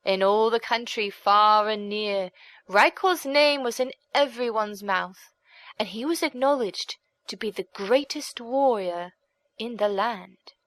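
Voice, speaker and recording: A woman reading a folk tale as an audiobook narrator, in a measured, expressive, dramatic storytelling manner. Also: mid-range voice, no echo.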